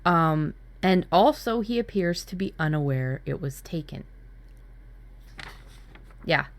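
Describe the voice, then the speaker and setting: Woman, slightly low voice, casual workshop chat, informal retelling, expressive phrasing. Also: slow. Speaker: writing workshop co-host. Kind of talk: casual workshop chat, recounting own brainstorm.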